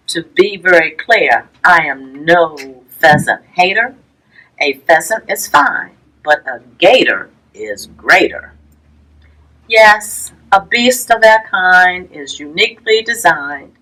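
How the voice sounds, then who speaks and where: A woman reading a rhyming children's picture book aloud, with a measured sing-song delivery and pauses between phrases. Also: fairly low pitch, fairly bright tone, low-quality recording. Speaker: library storytime reader.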